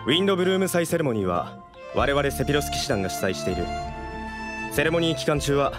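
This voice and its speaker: A man's voice: fairly high pitch, big pitch swings, fast. A man voicing a dutiful knight guard in an anime game, formal and matter-of-fact. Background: music.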